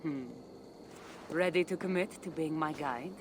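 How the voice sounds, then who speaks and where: An actress playing a guarded, mysterious wandering woman in a video game, speaking in measured, terse, secretive lines. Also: low-pitched, background noise. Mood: guarded, serious. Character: guarded mysterious female wanderer seeking a powerful artifact. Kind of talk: dramatic video game dialogue.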